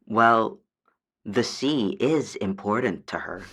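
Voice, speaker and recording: Woman playing a slow, hesitant dryad. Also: extremely low pitch, lively intonation, a bit bright, full character voice. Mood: defensive, tired. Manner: hesitant, unsure, trailing off. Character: hesitant, meek female dryad, homesick for her forest. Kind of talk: audio drama radio call-in.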